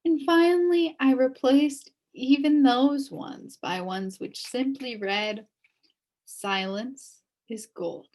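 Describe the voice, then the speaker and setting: A woman's voice: sits high, takes it slowly, darkish tone, poor audio. A woman voicing a sad, wistful elderly woman recounting her downfall in a storybook. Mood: regretful. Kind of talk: storybook character reading.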